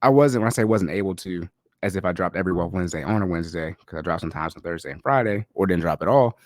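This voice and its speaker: A man's voice: sits low, fast. A man hosting a casual podcast, talking in a loose, slangy way, a little congested and nasal from a cold. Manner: loose, chatty, slangy delivery. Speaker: podcast host. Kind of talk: casual solo podcast talk. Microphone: podcast microphone.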